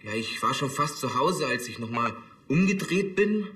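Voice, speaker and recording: An actor playing a nervous young apprentice in a crime drama, hesitant and defensive. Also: male voice, mid-range voice, big pitch swings, moderate pace, a bit bright, roomy sound. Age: young adult. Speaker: actor in a TV police series.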